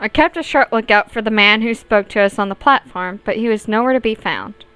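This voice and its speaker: A woman's voice: a little high, fairly quick, fairly bright tone, smooth. A woman reading a visual novel's narration and dialogue aloud as she plays through it. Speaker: gaming video host reading aloud.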